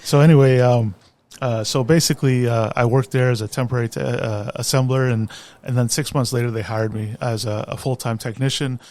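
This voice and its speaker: A man's voice: medium pitch, steady tone, fairly quick, darkish tone, no echo. A middle-aged man from San Francisco, a guest who tells his career story in an easygoing, reflective way and laughs at himself. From San Francisco, USA. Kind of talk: interview storytelling.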